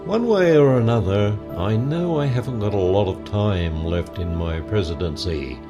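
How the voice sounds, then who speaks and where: A man's voice: fairly low pitch, animated, normal speed, muffled recording, lightly acted. An actor playing a frail, very old president and grandfather, solemn and pleading, in an audio drama. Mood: grave. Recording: music under the voice.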